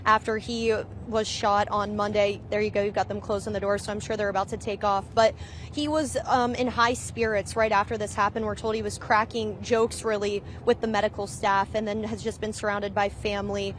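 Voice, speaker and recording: A woman's voice: medium pitch, flat delivery, fairly quick, slight background noise. A woman field reporter speaking live on scene outdoors at a hospital.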